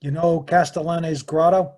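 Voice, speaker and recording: A menacing mystery caller played by a man with a husky, fairly high voice. He is terse and guarded, in 1940s radio crime style, recorded over a video call. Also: fairly even intonation, a little slow, a little mellow. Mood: guarded, businesslike. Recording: live video call performance.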